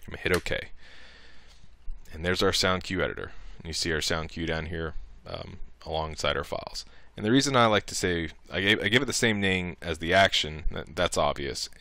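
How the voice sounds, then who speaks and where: A man narrating a software tutorial casually, thinking aloud informally as he works. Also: low-pitched, fairly quick, no room sound.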